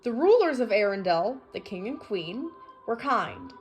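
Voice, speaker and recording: A woman reading a children's storybook aloud in an expressive storytelling manner. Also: medium pitch, normal speed, soft background music. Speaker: storybook reader.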